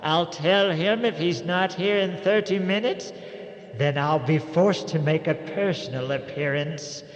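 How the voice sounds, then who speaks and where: An actor playing a creepy, genial old morgue attendant who tells horror tales on an old-time radio show, halting and fond of macabre puns. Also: male voice, a little high, natural voice. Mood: sly, genial. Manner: halting, chuckling, drawn-out macabre punning. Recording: dull, echoey radio recording with long reverb.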